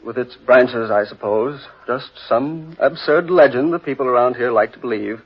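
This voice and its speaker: An actor playing a breezy, scoffing British gentleman in a jaunty old radio drama style. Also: medium pitch, moderate pace. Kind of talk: 1940s radio drama acting. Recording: dull, husky old radio recording.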